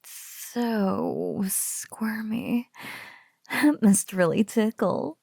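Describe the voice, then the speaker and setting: A woman playing a teasing, doting spider-woman captor in an ASMR roleplay, speaking softly in breathy whispers and lingering playfully over the words. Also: mid-range voice, no room sound, studio quality, full character voice. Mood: playful, gloating. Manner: slow, soft, breathy, lingering teasing delivery.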